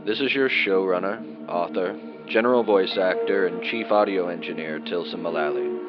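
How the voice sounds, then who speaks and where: A man's voice: sits low, normal speed, muffled recording, over music. A man giving a scripted show introduction as podcast host, addressing listeners formally and courteously.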